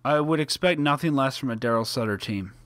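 A man's voice: mid-range voice, fairly quick, a bit bright, no echo, clean studio sound. A man hosting a podcast in casual chat, upbeat and conversational. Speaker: podcast host.

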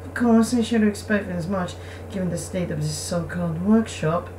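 A woman's voice: on the low side, normal speed, dark tone, reverberant, lightly acted. A woman voicing a formal, haughty young noble swordswoman from a visual novel game. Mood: disdainful. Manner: formal, prim, earnest. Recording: distant mic, faint background noise.

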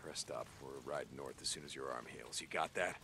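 Gruff American drifter biker, very low gravelly voice, weary, loyal, video game acting, noisy ambience behind. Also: male voice, a little fast. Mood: weary concern.

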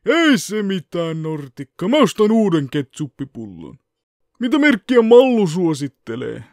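A man's voice: high-pitched, very animated, no room sound, studio quality. A man voicing a laid-back, grumbling adult ninja teacher in an anime parody dub. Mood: unbothered.

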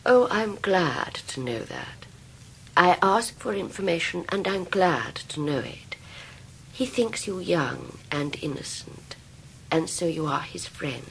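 A sly English gentlewoman in a radio play, feigning innocence. She speaks slowly in a creaky voice with big swings of pitch, probing as she goes. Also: sing-song, a little hiss or hum, full character voice, poor audio. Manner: probing, falsely humble questions. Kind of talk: 1990s radio drama acting.

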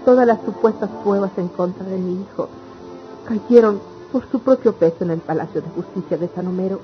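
A woman's voice: medium pitch, a little fast, faint music behind, close to an everyday voice. An actress playing an embittered, weary country mother in an old-fashioned radio serial, with plaintive delivery. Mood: aggrieved, doubtful. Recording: dull lo-fi radio serial with noise.

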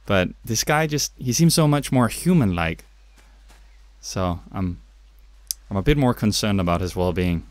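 A man's voice: lowish voice, lively intonation, a little slow, no room sound. A young man from Denmark with a Danish accent, chatting through a game with jokey, self-mocking asides at his home setup. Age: young adult. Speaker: gaming YouTuber. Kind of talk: let's play commentary. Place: home gaming setup, indoors.

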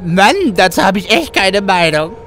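A man's voice: sits high, expressive, moderate pace, fairly bright tone, a little hiss or hum, pitched above the performer's own voice. A man voicing a panicky, pleading, nerdy small-time crook in a comic audio play. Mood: terrified. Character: terrified, whimpering young male small-time crook.

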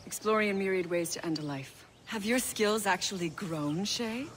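A woman's voice: mid-range voice, normal speed, slight background noise, natural voice. An actress playing a cool, teasing female assassin instructor in a video game cutscene.

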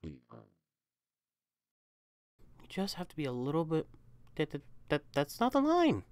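A woman performer chats off script between takes of an audio roleplay. Her voice is low and very varied in pitch, with a dry, close sound. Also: huge pitch swings, fairly quick, darkish tone, no room sound.

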